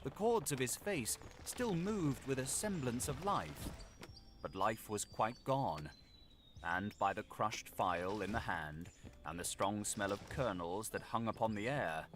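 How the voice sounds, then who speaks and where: A man narrating a gothic audiobook in a measured, literary, dramatic delivery. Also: lowish voice, animated, slight background noise.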